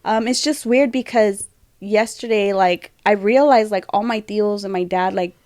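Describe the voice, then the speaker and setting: A woman's voice: mid-range voice, normal speed, a little mellow. A woman in her thirties co-hosting a podcast, talking candidly in casual chat with a rambling way of speaking. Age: 30s. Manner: candid, rambling, laughs often. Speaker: podcast co-host.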